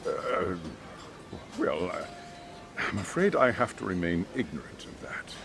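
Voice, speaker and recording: A low, gravelly, formal school chairman. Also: very animated, music in the background, exaggerated voice. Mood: uneasy, hesitant. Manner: measured, polite, hesitant. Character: formal, cautious, burdened school chairman, middle-aged man. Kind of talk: English dub of a video game cutscene.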